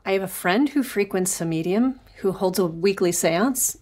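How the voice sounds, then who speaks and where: A woman's voice: mid-range voice, expressive, normal speed, a little mellow, natural voice. An actress playing a polite, deferential female servant in an old-time radio drama.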